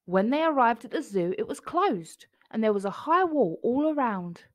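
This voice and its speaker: A woman narrating a children's picture book expressively, phrasing clearly for young listeners. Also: fairly high pitch, very animated, moderate pace, dry recording, studio quality.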